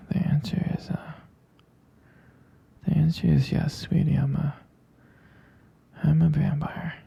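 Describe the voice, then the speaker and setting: A man playing a gentle, reassuring young vampire boyfriend in an intimate sleep-aid ASMR roleplay, murmuring tenderly and hesitantly in a breathy, husky whisper. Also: on the high side, flat delivery, slow, long pauses, lo-fi sound, heavily acted.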